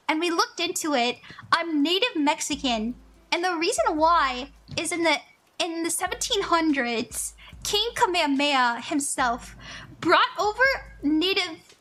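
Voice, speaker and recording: A woman's voice: very high-pitched, sharp tone. A woman psychic chatting casually on a live stream, bubbly and giggly, thanking viewers often. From Hawaii.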